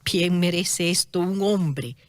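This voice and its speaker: An actress playing a generous, concerned duchess, gentle but insistent and formal, in a religious radio drama. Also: female voice, lowish voice, slow pace, dry recording. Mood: compassionate.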